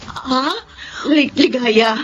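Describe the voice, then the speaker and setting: A woman's voice: high voice, extremely slow. An actress playing a defensive, deceitful woman villain in a Filipino radio drama, whiny and indignant. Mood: startled, indignant. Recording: muffled lo-fi, clear background noise.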